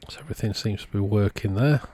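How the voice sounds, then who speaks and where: A man with a fairly low voice narrates in a casual way, like a technician walking viewers through bench work. His delivery is informal and explanatory. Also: fast, mellow, breathy edge. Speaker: video host, radio repair technician. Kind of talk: casual narrated repair commentary.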